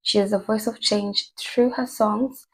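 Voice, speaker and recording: A woman talking casually to her viewers, chatty and rambling with many fillers, her voice hoarse from a cold. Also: mid-range voice, fairly even intonation, unhurried, low-quality recording. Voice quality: husky, gravelly. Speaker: vlogger. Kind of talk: casual commentary to camera.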